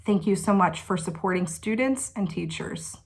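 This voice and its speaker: A woman educator delivers a slow, heartfelt prepared message. Her voice sits in a middle pitch, and she speaks sincerely. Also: breathy edge.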